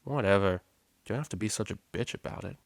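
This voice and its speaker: A man's voice: on the low side, brisk, creaky, dry recording. A man voicing a laid-back, slangy young male pony student in a story reading. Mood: sullen. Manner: slangy, breezy.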